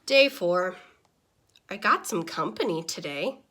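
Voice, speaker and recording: A woman voicing a small, easily exasperated pet goldfish narrating a diary in an expressive, deliberate children's picture-book read-aloud. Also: on the low side, breathy edge, pitched below the performer's own voice, heavily acted. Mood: flat, bored.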